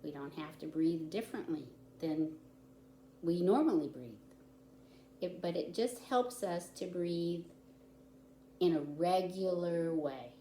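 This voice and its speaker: A woman's voice: lowish voice, slow pace, pauses often, dark tone. A woman volunteer reading to young children, explaining things simply, with chatty asides and questions to the listeners. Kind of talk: children's story time, read-aloud with chatty asides. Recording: roomy echo with audible background noise.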